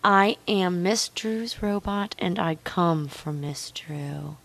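A woman speaking in an intimate, commanding hypnosis style, coaxing and repetitive, with a breathy voice that often drops to a whisper. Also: lowish voice, a bit bright. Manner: slow, drawn-out, dominant and coaxing. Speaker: erotic hypnosis performer.

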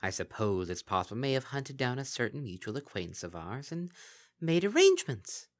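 A man's voice: mid-range voice, huge pitch swings, brisk, pitched above the performer's own voice. A man voicing a sly, mocking female kelpie in a lilting sing-song manner for a story reading.

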